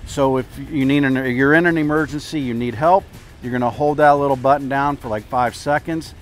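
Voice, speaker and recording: An older man with a mid-pitched voice gives practical boating instruction in a casual, explanatory way. He speaks outdoors on a clip-on mic, with music behind him. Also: steady tone, brisk. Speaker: boat captain giving boating tips. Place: outdoors at a marina by a parking lot. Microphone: clip-on lapel mic.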